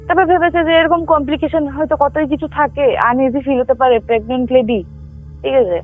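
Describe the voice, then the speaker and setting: A woman telling a ghost story casually as a caller, halting, repeating and correcting herself as she goes. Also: sits high. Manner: halting, repetitive, self-correcting. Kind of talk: casual spoken storytelling with frequent check-in questions. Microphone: phone line. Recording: tinny phone call with music underneath. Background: music.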